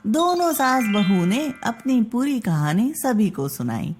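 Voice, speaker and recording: A woman storyteller with a fairly high, very lively voice. She narrates at an unhurried pace in a deliberate, expressive way, with music behind her. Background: background music.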